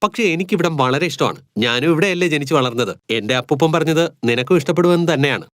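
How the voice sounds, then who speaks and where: A man's voice: on the high side, lively intonation, fast talker, dry recording, studio quality, natural voice. A man voicing an earnest young husband raised in a village, in a cartoon moral story.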